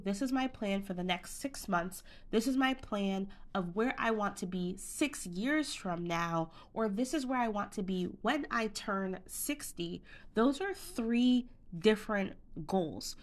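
A woman hosting a solo podcast, talking listeners through goal planning in an upbeat, conversational coaching manner. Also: medium pitch. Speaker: podcast host and business coach. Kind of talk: solo motivational podcast talk.

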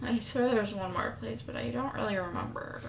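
A young woman with a somewhat deep, even voice co-hosting a casual podcast, laid-back and giving short answers. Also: a little fast, gravelly, a little hiss or hum. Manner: laid-back, brief answers, laughs often. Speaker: podcast co-host. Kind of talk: casual chat.

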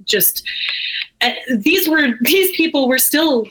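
A woman's voice: high voice, animated, takes it slowly, a bit bright, low-quality recording. Candid American woman, casual and emphatic. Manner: informal, emphatic, peppered with "like" and "you know". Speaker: interview guest, brewery owner.

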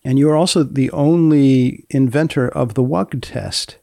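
A man hosts an interview podcast with a gravelly, creaky voice. He speaks deliberately and keeps a deadpan delivery as he puts his questions. The sound is dry, with very little room on it. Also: medium pitch, dry recording. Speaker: host, magazine editor. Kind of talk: podcast interview with scripted introduction.

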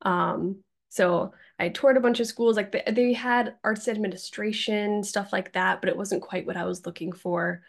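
Young woman with a gravelly voice. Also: mid-range voice, steady tone, moderate pace, hardly any pauses. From Massachusetts, Cape Cod. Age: young adult. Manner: chatty, many ums and likes, laughs easily. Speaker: guest. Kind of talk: casual interview.

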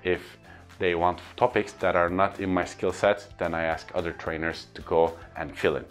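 Man, Turkish accent, very low voice, earnest upbeat vlog talk, faint music underneath. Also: steady tone, moderate pace. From Turkey. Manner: earnest, upbeat, repeats lists for emphasis. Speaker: corporate trainer and speaker. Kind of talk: casual talking-to-camera vlog.

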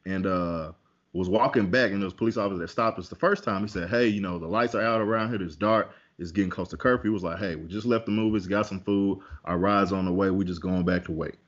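A man's voice: low voice, fast talker, lo-fi sound. A man telling a personal story in a casual podcast chat, candid and conversational. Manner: candid, conversational, with many fillers and restarts.

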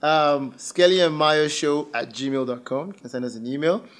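A man's voice: a little high, moderate pace. Teasing man, podcast chat. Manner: teasing, jokey. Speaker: podcast co-host. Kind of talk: casual chat.

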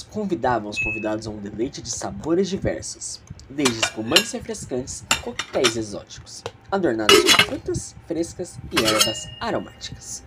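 A man's voice: mid-range voice, very noisy. A man with a Brazilian accent narrating an audio drama theatrically, with casual, playfully snobbish asides.

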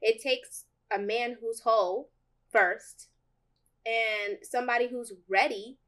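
A young woman in casual chat, speaking earnestly and thoughtfully. Her voice is loud and projected. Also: fairly high pitch, fairly even intonation, slow pace. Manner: earnest, reflective. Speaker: podcast co-host. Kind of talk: casual chat about dating.

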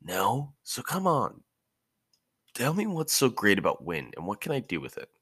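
A man's voice: on the low side, wildly swooping pitch, moderate pace, fairly bright tone, slightly rough, dry recording, studio quality, pitched above the performer's own voice, heavily acted. A man voicing a brash, eager teenage ninja boy with a slangy, impatient manner, in a fan fiction audiobook.

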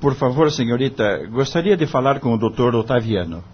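A dull, lo-fi radio drama recording of an earnest young lawyer. He speaks with courteous deference and a slightly husky voice that moves expressively up and down. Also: mid-range voice, expressive, a little fast. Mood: polite, deferential. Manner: polite, deferential.

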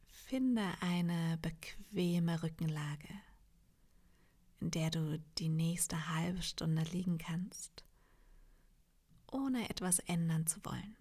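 Soft, breathy woman guiding meditation slowly. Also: on the low side. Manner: soft, breathy, half-whispered, soothing delivery with long pauses. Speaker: yoga nidra meditation guide. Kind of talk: guided meditation.

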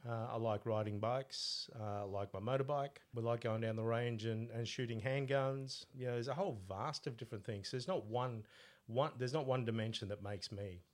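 Australian man telling relaxed stories. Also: mid-range voice, normal speed. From Italy. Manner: relaxed storyteller, laughs at own stories. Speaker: podcast co-host, coach. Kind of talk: casual conversational chat.

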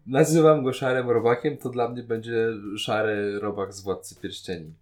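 Mid-pitched man chatting on podcast. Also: fairly even intonation, moderate pace, a little mellow. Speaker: podcast co-host. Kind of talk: casual opinion discussion.

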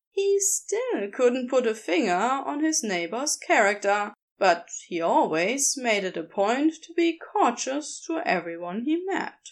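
Slow, high-voiced woman narrating a story. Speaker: podfic narrator.

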